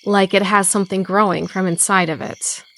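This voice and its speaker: A woman's voice: medium pitch, fairly even intonation, normal speed, a bit bright, natural voice. A woman playing a practical, level-headed small-town sheriff in an audio drama, thoughtful and matter-of-fact. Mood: puzzled, analytical.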